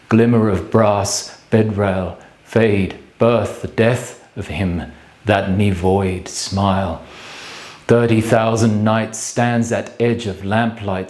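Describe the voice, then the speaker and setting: An actor playing a bleak, solitary man brooding on death in a theatrical monologue, speaking in grave, halting fragments. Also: lowish voice, darkish tone. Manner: halting, clipped fragments with measured pauses. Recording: echoey room sound.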